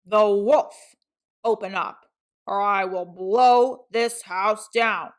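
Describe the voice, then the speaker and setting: A woman voicing a hungry, grumpy male wolf from a children's storybook, loud, blustering and threatening. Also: mid-range voice, slow, close to an everyday voice. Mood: menacing.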